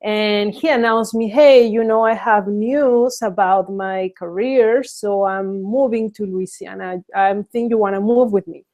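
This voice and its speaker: A woman from Mexico in her thirties hosts a casual webinar. She speaks slowly and hesitantly, pausing often with fillers and restarting her sentences. Her pitch sits in an ordinary middle range. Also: fairly even intonation, a little mellow. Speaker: webinar host.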